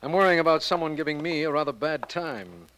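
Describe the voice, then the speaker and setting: A man's voice: a little high, animated, fairly quick, natural voice. A man playing a worried, courteous district attorney, formal and polite in 1940s radio drama style. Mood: concerned, polite. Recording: hissy old 1940s radio recording.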